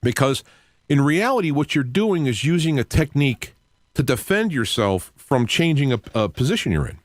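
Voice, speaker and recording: An older man, around sixty, hosting a radio show with an earnest, reflective, unscripted monologue in halting phrases. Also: mid-range voice, very animated, dry recording. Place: cluttered home radio studio, indoors. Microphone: close studio microphone with headset.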